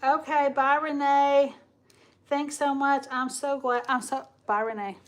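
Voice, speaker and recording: A woman's voice: high voice, flat delivery, a little slow. Chatty woman in her forties. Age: 40s. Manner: chatty, talks to viewers and reads comments aloud. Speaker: craft livestream host. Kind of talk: casual live-stream chat while crafting.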